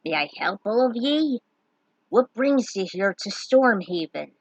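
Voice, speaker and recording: A woman's voice: medium pitch, lively intonation, a little slow, no echo, muffled recording. A woman voicing a wary castle guard who speaks in archaic fantasy style. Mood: suspicious. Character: wary, old-fashioned castle guard in navy armor.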